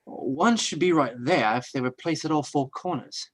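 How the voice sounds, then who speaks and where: An actor playing a polite, earnest young fiancé in an old-time radio drama. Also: male voice, a little high, normal speed, close to an everyday voice. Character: young fiancé, dutiful and curious.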